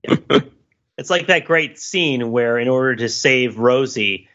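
A man's voice: mid-range voice, measured pace, a bit bright. A man hosting a casual film discussion podcast with wry, mock-analytical joking, recorded on a slightly dull microphone. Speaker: podcast host leading the discussion.

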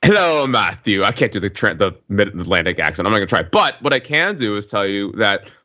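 A man's voice: on the low side, very animated, brisk, bright, forceful tone. A man on a podcast panel chatting casually and giving opinions, on a slightly dull recording.

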